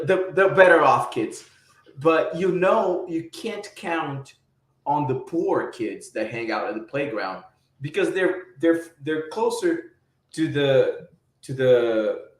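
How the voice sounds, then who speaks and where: A man's voice: mid-range voice, reverberant. A man from Brazil, a podcast guest, explaining things casually and often repeating words as he goes.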